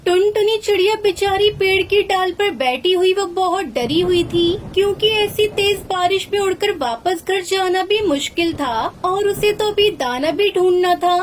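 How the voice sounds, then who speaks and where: A woman's voice: very high voice, a little slow, few pauses, a little hiss or hum. A woman narrating a scripted children's story.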